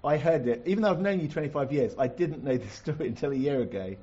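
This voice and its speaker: A man hosting a chat-show-style live event, introducing and interviewing a guest with chatty, halting phrasing. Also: a little high, animated, mellow, husky, muffled recording. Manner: chatty, halting, many restarts. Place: stage before a live audience.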